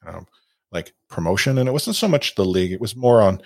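Rambling podcast host, low voice. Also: male voice, expressive, brisk, darkish tone, no room sound, clean studio sound. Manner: rambling, self-deprecating, many fillers and restarts. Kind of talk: casual podcast chat.

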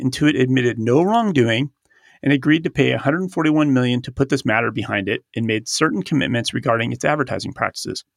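A man's voice: mid-range voice, vocal fry, no echo, clean studio sound. A man co-hosting an accounting podcast in casual chat, laughing often and a little stuffed up from allergies. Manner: chatty, laughs often, reads ads and quotes aloud. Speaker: podcast co-host. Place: recording studio.

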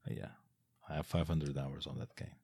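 A man's voice: rumbling low, steady tone, moderate pace, creaky, a little mellow, slightly rough, no echo. A man who is not a native English speaker, interviewing a guest and asking long, enthusiastic, rambling questions. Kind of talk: interview conversation.

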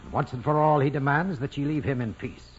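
A man's voice: mid-range voice, fairly quick, soft background music, lightly acted. An actor playing a stern, commanding male priest in an old radio drama, formal, imperious and sardonic. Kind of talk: 1940s radio drama acting. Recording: muffled old radio recording with hiss.

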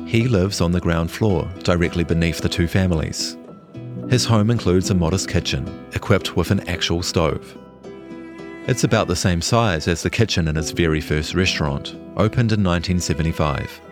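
A man with a New Zealand accent narrates a scripted feature in a very low voice. He reads as a journalist telling his own written story. Guitar music plays clearly under his words. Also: normal speed. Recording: music behind the voice.

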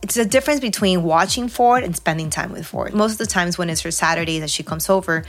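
Woman from Peru, thirties, lower voice, quick and reflective in casual chat. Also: soft background music. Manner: reflective, talks in long flowing runs with many fillers.

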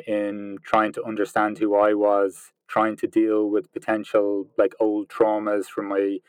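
A man in his late thirties, talking reflectively in an interview, pausing and restarting often as he searches for words. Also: medium pitch, clear, resonant tone, dry recording, poor audio. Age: 30s. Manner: thoughtful, hesitant, many restarts and fillers. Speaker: interview guest.

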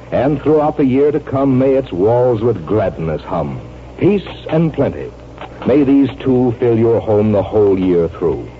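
1940s radio announcer man, muffled vintage sound. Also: medium pitch. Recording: dull, noisy vintage radio broadcast.

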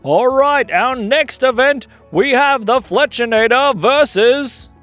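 Storyteller doing a big loud wrestling announcer, excited showman, drags words out, kids story style. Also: sits high, faint music behind, pitched above the performer's own voice. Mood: hyped, booming. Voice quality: very loud, projected. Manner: drawn-out ringside showman delivery. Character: booming excited platypus wrestling arena announcer.

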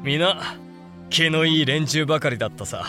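An actor playing a worldly, cynical elder-brother samurai in a historical audio drama, speaking bluntly and with detachment. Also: male voice, a little high, moderate pace, over music, lightly acted. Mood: cynical, reflective. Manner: blunt, detached, matter-of-fact.

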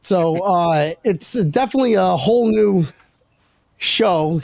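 A man with a high-pitched voice and a dry, close sound hosts a sports podcast. He talks casually, joking at his own expense and swearing freely. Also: slow, creaky, no echo. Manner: jokey, self-deprecating, profane. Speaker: podcast host.

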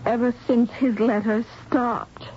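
A woman's voice: a little high, steady tone, slow pace. An actress playing an earnest, anxious but brave young woman in an old radio mystery, with a dramatic delivery. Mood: dawning grief. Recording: muffled radio recording with faint hiss.

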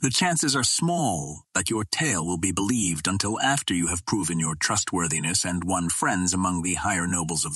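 A man's voice: fairly low pitch, fairly bright tone, slightly rough, no room sound. A man narrating an adventure novel as a scripted audiobook reading.

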